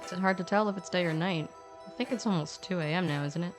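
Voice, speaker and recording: Woman narrator, lowish voice, scripted fantasy story with chatty asides, music behind her. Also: quick pace. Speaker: podcast narrator. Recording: background music under voice.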